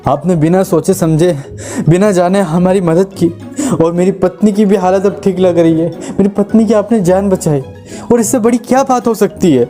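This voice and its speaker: A man's voice: high voice, moderate pace, dark tone, soft background music, natural voice. A man voicing an earnest, imploring husband, a wealthy traveler in distress, in a moral story. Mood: earnest, grateful. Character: wealthy grateful husband in distress, pleading for help. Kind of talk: Hindi moral story voice acting.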